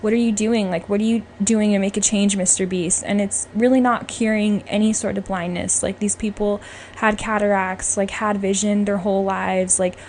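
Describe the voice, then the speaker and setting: Chatty woman podcaster, clear background noise. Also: medium pitch, steady tone, moderate pace, darkish tone. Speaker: podcast host. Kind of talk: casual opinionated podcast talk.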